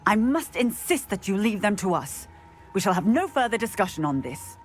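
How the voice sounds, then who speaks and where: A woman's voice: medium pitch, very animated, moderate pace, soft background music, lightly acted. A woman voicing a firm, authoritative female officer in a video game cutscene.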